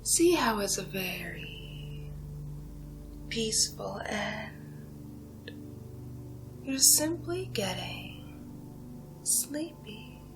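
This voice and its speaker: A woman playing a seductive, ancient vampire villain in a whispered ASMR roleplay, breathy and drawn-out, savoring and condescending. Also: medium pitch, animated, over music, rough recording. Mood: soothing, menacing. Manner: slow, hushed, drawn-out, savoring delivery.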